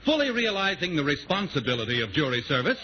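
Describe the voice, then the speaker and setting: A man's voice: on the high side, big pitch swings, fast talker, dull audio, a little hiss or hum. A man with an American accent announcing and narrating a scripted 1940s radio show. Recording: band-limited 1940s radio recording. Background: music.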